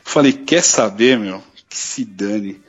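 A man's voice: lowish voice, takes it slowly, breathy edge, muffled recording. A Brazilian man in his forties telling personal stories in a casual podcast chat, using lots of slang and swearing. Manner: colloquial and slangy, peppered with swearing. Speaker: podcast co-host.

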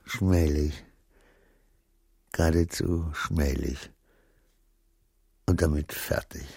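A man's voice: rumbling low, takes it slowly, gravelly, a little mellow, slightly rough, exaggerated voice. A man in a radio drama playing an anxious, self-berating petty clerk who mutters to himself and swings into outbursts. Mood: bitter muttering.

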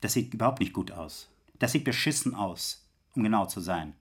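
An actor playing a coarse, bullying police inspector in a radio drama, gruff and impatient, hammering his phrases home. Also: lowish voice, expressive, moderate pace. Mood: grim, pressing. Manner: gruff, cursing, hammering repetition.

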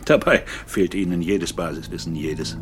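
An actor playing an exasperated, condescending engineer who complains scornfully in a radio drama. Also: male voice, deep voice, normal speed, natural voice.

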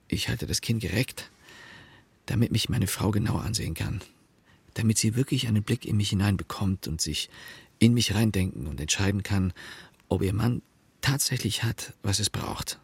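An actor playing a wry, anxious husband who narrates a literary radio play in a husky, gravelly, reflective storytelling way. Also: male voice, lowish voice, dry recording, exaggerated voice.

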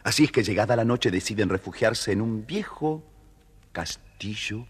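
Low-voiced, expressive male storyteller. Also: moderate pace, slightly rough. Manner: expressive, addresses young listeners directly. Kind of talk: dramatised scripted narration for children.

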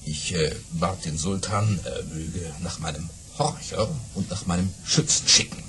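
An actor playing a boastful baron who spins tall tales with dramatic pauses and exclamations in an audio play. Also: male voice, deep voice, pushed and bright, faint music behind. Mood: suspenseful storytelling. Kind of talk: German audio-play storytelling.

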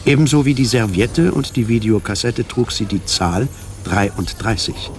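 A man's voice: on the low side, unhurried, a little hiss or hum. A man reading scripted narration as the narrator of a German radio drama.

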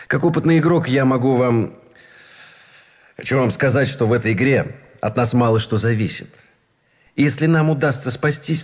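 An actor playing a cynical, fatalistic gambler officer in a radio drama, mocking and theatrical, with a husky voice. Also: male voice, medium pitch, a little mellow. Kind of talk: Russian radio drama acting. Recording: faint music behind the voice.

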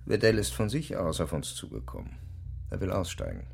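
A calculating intelligence handler in a radio play. The voice is low and gravelly, moving flexibly through measured, formal and evasive phrases. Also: male voice, big pitch swings, a little mellow, full character voice. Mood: matter-of-fact. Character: smooth-talking, pragmatic male intelligence officer handling informants. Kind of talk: naturalistic radio drama dialogue.

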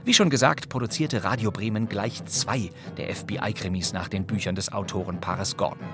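A man's voice: fairly low pitch, moderate pace, music in the background. A man hosting scripted, wry presentations of old radio crime plays in a playful, theatrical storytelling way. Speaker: podcast host.

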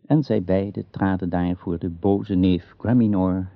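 A man's voice: sits low, fairly even intonation, a little slow, no echo. A man narrating a radio drama as a storyteller, in a formal, old-fashioned tale-telling style. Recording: dull, muffled radio play recording.

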